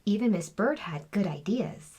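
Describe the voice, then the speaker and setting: A woman's voice: mid-range voice, lively intonation, normal speed, a little mellow, breathy edge. A woman reading a children's storybook aloud as scripted narration. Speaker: children's book reader.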